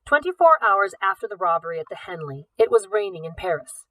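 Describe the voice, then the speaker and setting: A woman reading a novel aloud in an expressive, deliberate storytelling manner. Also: medium pitch, distant mic, poor audio. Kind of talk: scripted audiobook narration.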